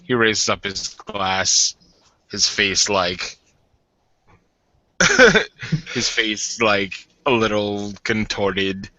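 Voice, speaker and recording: Bright, forceful low-voiced man roleplaying. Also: level tone, drawn-out words, pauses often, no room sound, rough recording. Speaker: tabletop roleplayer. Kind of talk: casual in-character roleplay with narration.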